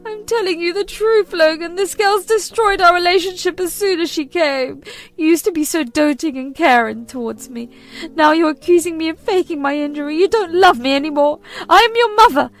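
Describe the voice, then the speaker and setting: A woman voicing a manipulative, whining older mother-in-law who accuses and pleads in a shrill, melodramatic way. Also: normal speed. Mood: shrill pleading.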